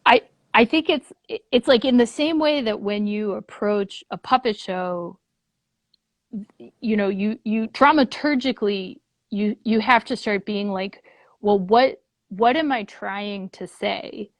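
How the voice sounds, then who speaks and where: A woman's voice: medium pitch, measured pace, dry recording. A woman speaking casually as an interview guest, earnest, thinking aloud in long, looping phrases. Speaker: guest, puppet theater leader.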